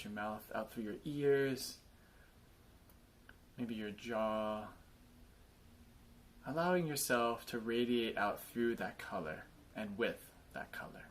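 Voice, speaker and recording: A man leading a guided body scan meditation, speaking in gentle invitations with frequent pauses. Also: medium pitch.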